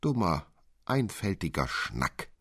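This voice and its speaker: A man's voice: low voice, big pitch swings, slow, fairly bright tone, husky, dry recording, clean studio sound, heavily acted. Man doing a blustering, mocking old godfather. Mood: grumbling. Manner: exclamatory, scornful, blustering. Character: gruff, mocking old godfather, a court official.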